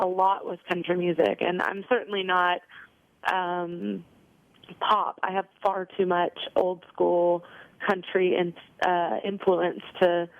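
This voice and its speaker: A woman's voice: lowish voice, dry recording, narrow, tinny audio. A young woman singer answering interview questions in a chatty way, with lots of ums and you knows. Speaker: country singer, interview guest.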